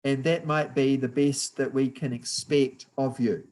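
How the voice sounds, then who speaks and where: A man with a New Zealand accent giving a guest lecture on building structures, explaining slowly and patiently over a video call. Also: medium pitch, fairly even intonation, darkish tone. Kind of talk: university lecture, slow and deliberate.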